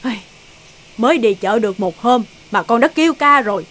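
A woman's voice: fairly high pitch, animated, brisk, fairly bright tone. A woman voicing a strict, fussy elderly mother-in-law in a cartoon, with a scolding, nagging tone. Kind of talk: cartoon dubbing.

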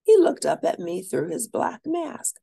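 A woman reading a children's story aloud as an expressive storyteller, lingering deliberately on key words. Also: on the high side, gravelly, breathy edge, dry recording, clean studio sound.

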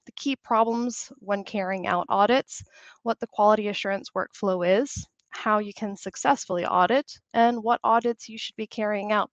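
A woman, slightly hoarse from a cold, presenting a webinar over a video call in a chatty, upbeat way. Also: mid-range voice, no room sound. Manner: chatty, upbeat, frequent "you know". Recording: dry, slightly dull video call audio.

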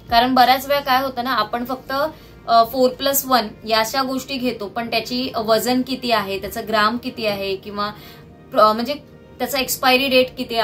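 Higher-pitched woman vlogger. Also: a bit bright. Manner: explanatory, itemising. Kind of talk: casual product haul chat to viewers. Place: small indoor room at home, seated on the floor.

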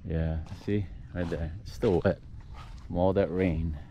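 A young man with a low, deep voice narrates unhurriedly as he strolls along a quiet bayside park, thinking aloud with easy "oh"s and "all right"s. It is caught on an action camera outdoors, with birds and open-air ambience clearly audible behind him. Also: unhurried. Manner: relaxed, musing aloud, frequent "oh" and "all right". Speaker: vlogger. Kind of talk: casual walking vlog narration. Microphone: action camera held or mounted while walking. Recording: outdoor camera audio with clear nature noise.

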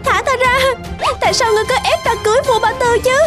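A woman's voice: very high voice, fairly even intonation, fast talker, voice pushed up. A woman voicing a young, emotional cartoon princess with a girlish manner, sweet yet defiant. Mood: distressed, defiant. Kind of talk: cartoon voice acting. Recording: music behind.

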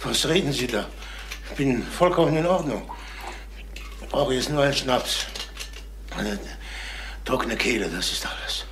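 A worn-out salesman who is shaken and touchy, in a crime radio drama. His voice sits at a medium pitch. He talks in halting, brusque bursts and dodges questions, over a faintly noisy recording. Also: expressive, a bit bright. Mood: defensive, irritable. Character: tired, irritable male salesman, shaken and defensive. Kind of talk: German crime radio play acting.

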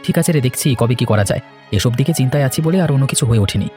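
A man's voice: mid-range voice, lively intonation, very fast, warm tone, soft background music, close to an everyday voice. A man playing a worried, dutiful young married son in a cartoon, speaking earnestly and reassuringly. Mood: downcast. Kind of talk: cartoon voice acting, domestic moral story.

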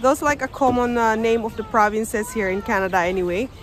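A woman's voice: fairly high pitch, a little fast, a little hiss or hum. Chatty Filipino woman vlogging outdoors. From Philippines. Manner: chatty, explaining, loose grammar. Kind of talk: casual vlog talk to camera. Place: outdoors on a snowy suburban driveway. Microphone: phone held at arm's length.

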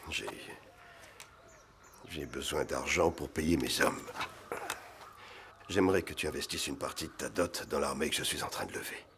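Very deep, gravelly old Gaulish patriarch. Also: male voice, normal speed, pauses often, background noise. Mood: pressing. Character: stern old Gaulish father-in-law rallying for war. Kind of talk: animated docudrama acting in French.

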